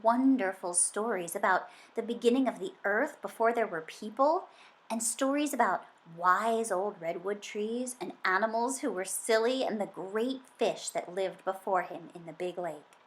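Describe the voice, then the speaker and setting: A woman reading a children's story aloud in an expressive, dramatic way. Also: mid-range voice, poor audio. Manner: dramatic, varying from hushed suspense to emphatic excitement. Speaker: children's book reader.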